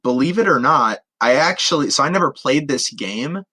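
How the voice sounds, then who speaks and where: A man's voice: mid-range voice, lively intonation, normal speed, bright, forceful tone, slightly rough. A man co-hosting a podcast, chatting casually and reacting with exclamations and dismay. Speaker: podcast co-host.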